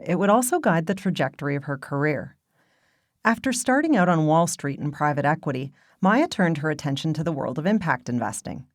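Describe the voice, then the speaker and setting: Low-voiced woman hosting a podcast. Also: no room sound, studio quality. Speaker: podcast host. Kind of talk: scripted narration.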